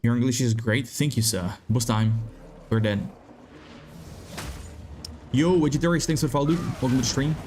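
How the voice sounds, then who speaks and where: A young man with a Czech accent talks loosely at his desk mic while he plays, reading out viewers' messages and cursing now and then. His voice sits at a middle pitch, and faint game music plays behind him. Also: normal speed, long pauses, a little mellow. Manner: laid-back, swears, reads chat aloud. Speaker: game streamer. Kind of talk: casual live-stream chat.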